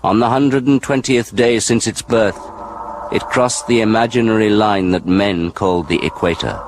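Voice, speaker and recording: An actor narrating a radio disaster thriller in a grave, measured, portentous manner. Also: male voice, on the low side, background noise, lightly acted. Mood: grave, ominous. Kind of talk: dramatic scripted radio narration. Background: loud music.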